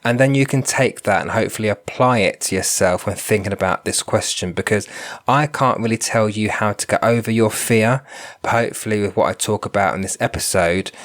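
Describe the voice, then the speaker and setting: A man's voice: lowish voice, a bit bright. A man hosting a solo podcast, stuffed up and nasal from a cold, talking in a thoughtful way and often repeating words as he thinks. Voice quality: nasal, bunged up. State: congested from a cold. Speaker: podcast host, spiritual medium.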